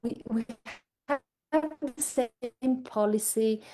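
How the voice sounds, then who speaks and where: Woman, mellow voice, asks a long question in halting english. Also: fairly high pitch, steady tone. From Bulgaria. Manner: searching for words, light laughs. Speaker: conference audience member asking a question. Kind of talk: academic Q&A question.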